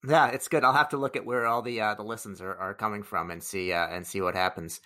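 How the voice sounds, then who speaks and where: A man's voice: on the low side, fairly even intonation, fast talker, pushed and bright. A man hosting a business podcast, reading an intro and then interviewing in a friendly, joking way. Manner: friendly, joking, some restarts. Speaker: podcast host. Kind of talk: casual interview with scripted intro.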